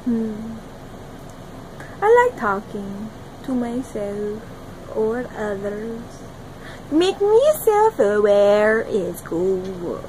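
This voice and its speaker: A young woman talking to her phone camera in a small room, rambling dreamily and giggling, jumping between loud bursts and soft murmurs. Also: medium pitch, big pitch swings, extremely slow, pauses often, a little hiss or hum, poor audio. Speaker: vlogger. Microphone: phone camera at arm's length.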